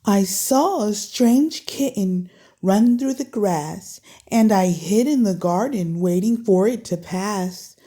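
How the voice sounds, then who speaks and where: A woman voicing a gentle, tearful mother snake in a children's storybook, polite and pleading in a slow, soft-hearted way. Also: medium pitch, voice pushed down. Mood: worried, pleading.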